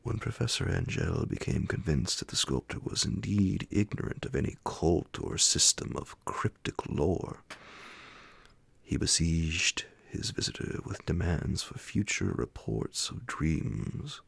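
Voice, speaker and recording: Very deep, gravelly male ASMR narrator. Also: big pitch swings, dry recording. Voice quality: gravelly, breathy, partly whispered. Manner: hushed, slow, deliberate phrasing. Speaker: narrator reading classic horror fiction aloud. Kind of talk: ASMR audiobook bedtime story narration. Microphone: close, dry microphone.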